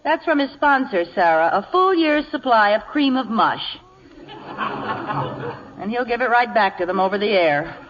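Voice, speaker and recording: A woman's voice: a little high, lively intonation, normal speed, some chatter behind, natural voice. A woman playing a sensible, efficient secretary, dry and businesslike, in 1940s radio comedy style. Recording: muffled old radio broadcast.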